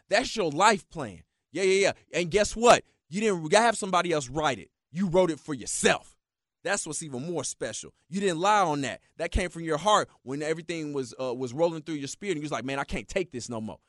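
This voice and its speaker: A man's voice: sits high, fairly bright tone, dry recording, studio quality. A man hosting a motivational talk show, preaching in a hyped, repetitive way. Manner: preachy, hyped, repeats "yeah, yeah, yeah" and "yo". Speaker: motivational radio host.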